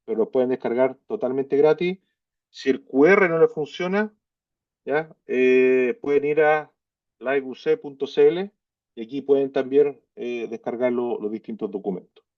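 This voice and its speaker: A man finishing a conference presentation, often pausing to check in with the audience. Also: medium pitch, dry recording. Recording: distant dull lo-fi sound, very dry.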